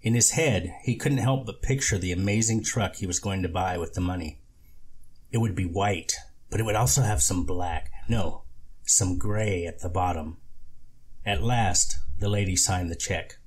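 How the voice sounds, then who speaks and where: A man's voice: sits low, moderate pace, breathy edge. A man reading a novel aloud as scripted narration, as an amateur audiobook reader.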